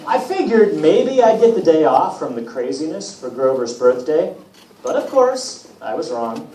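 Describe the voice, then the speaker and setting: A man reading aloud as a wry, put-upon teenage boy demigod, telling his own story with self-deprecating, deadpan humour. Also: on the high side, a little slow. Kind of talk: first-person storybook reading. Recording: echoey room from far off, hissy noise.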